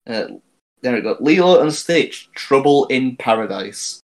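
A man's voice: mid-range voice, expressive, unhurried, poor audio. A man co-hosting a podcast, chatting casually and teasing his co-hosts. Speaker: podcast co-host. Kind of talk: casual chat.

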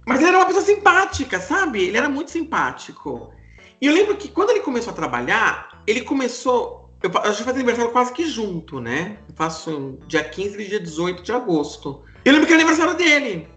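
Animated fast-talking Brazilian man. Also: very high voice, roomy sound, faint music behind. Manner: expressive, animated. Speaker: podcast host. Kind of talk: casual chat, storytelling.

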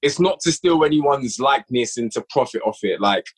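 A man's voice: mid-range voice, animated, a little fast, slightly rough. A man appearing as a podcast guest and audio drama writer, talking in a laid-back, hedging way. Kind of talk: casual chat.

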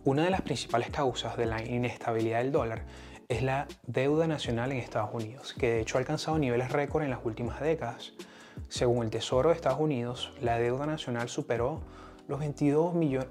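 A man's voice: medium pitch, fairly quick, darkish tone, soft background music. A man with a Venezuelan accent hosting an explanatory podcast in a partly scripted monologue. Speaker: podcast host.

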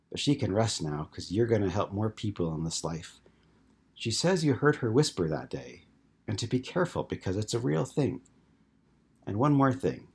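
A man voicing a shy young child ghost who talks in a halting, childlike way through a medium. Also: lowish voice, breathy edge, pitched above the performer's own voice, full character voice.

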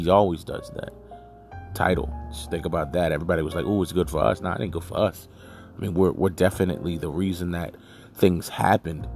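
A man speaks in a deep, low-pitched voice over faint music. He hosts a casual solo podcast and gives his opinions bluntly and emphatically, in loose colloquial phrasing. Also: darkish tone. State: just woke from a nap. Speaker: podcast host.

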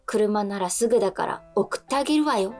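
A woman's voice: mid-range voice, expressive, brisk, darkish tone, soft background music. A woman co-hosting a radio show, chatting lightly and reading listener letters aloud.

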